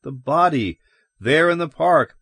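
A man's voice: a little high, animated, measured pace, a bit bright, slightly rough, no echo, pitched above the performer's own voice, exaggerated voice. A man voicing a horrified male shopkeeper who is agitated, exclamatory and increasingly hysterical in a story reading. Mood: sickened, dazed.

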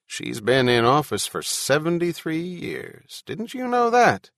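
A man's voice: a little high, big pitch swings, unhurried, fairly bright tone, no echo, studio quality, voice pushed up, heavily acted. A man voicing a self-assured male huckster in an audiobook, offhand and needling. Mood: smug, offhand.

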